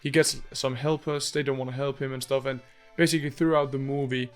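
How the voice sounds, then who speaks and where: A man's voice: medium pitch, little pitch movement, fairly quick, soft background music. A young man from Denmark with a Danish accent, rambling through a casual movie review, often stopping mid-sentence to hunt for the English word. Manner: rambling, searches for English words, many restarts. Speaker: host.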